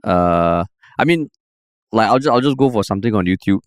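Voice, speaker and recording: A man's voice: lowish voice, brisk, clear, resonant tone, dry recording, studio quality. A man with a Singaporean accent co-hosting a podcast in casual, chatty talk, often ending lines with "lah". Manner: chatty, animated, sprinkles lah. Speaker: podcast co-host. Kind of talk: casual chat.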